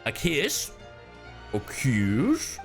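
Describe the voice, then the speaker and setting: A man's voice: mid-range voice, huge pitch swings, drawn-out words, vocal fry. A man livestreaming a game with casual, joking, sweary commentary, reacting as he plays. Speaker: streamer and professional voice actor. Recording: faint game music behind. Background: game music.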